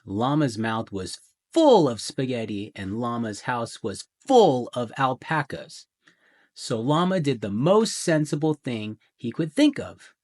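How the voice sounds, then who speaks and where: A man reading a children's picture book aloud in a theatrical way, with deliberate pauses and emphasis. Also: mid-range voice. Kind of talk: dramatic children's storybook narration.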